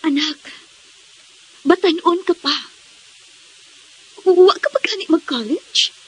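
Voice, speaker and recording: A woman's voice: extremely high pitch, slow pace, rough recording. A woman with a Visayan Filipino accent playing an anxious, evasive mother in an emotive radio drama. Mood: worried scolding. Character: anxious, evasive mother of a teenage daughter, secretly lovesick.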